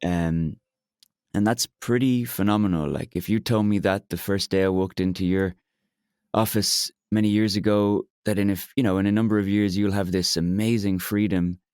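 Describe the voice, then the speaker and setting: A young man from Ireland with an Irish accent, a bit hoarse today, talking openly in a therapy podcast and pausing often with fillers and restarts. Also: fairly low pitch, steady tone, a little mellow, dry recording, studio quality. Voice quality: hesitant, many fillers and restarts. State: slightly hoarse from shouting. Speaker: podcast co-host, client. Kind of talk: candid therapy conversation.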